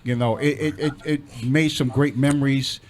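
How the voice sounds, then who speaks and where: A man's voice: mid-range voice, fairly even intonation, normal speed. A man in his sixties co-hosting a radio show, telling stories casually and jovially with people chattering around him. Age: 60s. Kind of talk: casual chat. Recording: busy room with crowd chatter.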